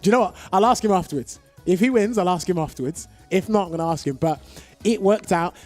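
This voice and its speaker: A man calling a fighting game match live, excitable and exclamatory, losing his voice. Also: high voice, fast talker, faint music behind. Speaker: esports commentator. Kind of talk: excited live esports commentary.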